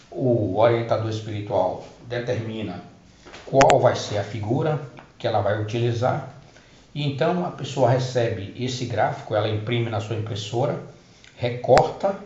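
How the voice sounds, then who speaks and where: A man's voice: mid-range voice, darkish tone, a little hiss or hum. A middle-aged man giving a personal testimony about spiritual healing, hesitating and restarting his words as he explains. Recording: roomy, dull lo-fi recording.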